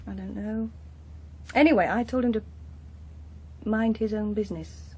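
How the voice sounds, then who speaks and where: An actress playing a defensive young daughter in a radio drama, with an English accent, a husky voice and a petulant manner. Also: female voice, medium pitch, steady tone, moderate pace, darkish tone. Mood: huffy. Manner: petulant, protesting. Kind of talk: British radio drama acting.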